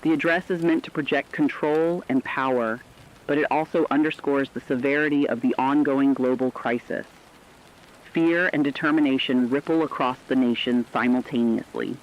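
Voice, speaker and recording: A man playing a grave radio correspondent, reading war news in a solemn, forceful, declamatory scripted style. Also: medium pitch, fairly even intonation, normal speed, lo-fi sound, natural voice. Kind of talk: scripted dramatic news broadcast.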